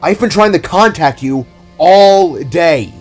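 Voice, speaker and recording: An excitable young cop, as a man puts him on, pitched well above his normal voice and tumbling over his words. He sounds frantic and flustered and stammers as he loses his thread, all in a homemade fan audio drama. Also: takes it slowly, soft background music.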